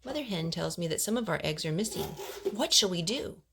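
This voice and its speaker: A woman voicing a stern, authoritative rooster who leads the barnyard in a storybook, talking in a measured, official way. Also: fairly low pitch, lively intonation, fairly bright tone, slight background noise. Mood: concerned.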